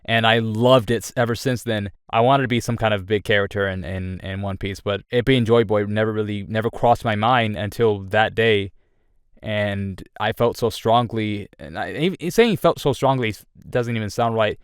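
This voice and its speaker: A man's voice: on the low side, moderate pace, smooth, no echo, studio quality. A young man giving a candid personal update on his channel, rambling with frequent restarts. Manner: earnest and candid. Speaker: YouTuber.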